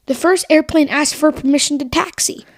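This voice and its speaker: High-pitched female co-host of a kids' podcast. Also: normal speed. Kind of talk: scripted educational podcast for children.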